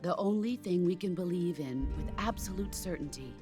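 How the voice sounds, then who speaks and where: A seasoned female military mentor from a video game drama played by an actress. Her voice sits fairly low and she speaks in a measured, solemn way, instructing with calm authority. Faint music plays underneath. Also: close to an everyday voice. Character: calm, commanding veteran female soldier mentor. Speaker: military mentor over radio. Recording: music behind.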